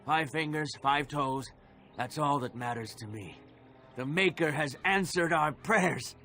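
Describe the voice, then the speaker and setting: A man voicing a reverent village man speaking in hushed wonder. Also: fairly high pitch, unhurried, soft background music. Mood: awed. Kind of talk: video game cutscene voice acting.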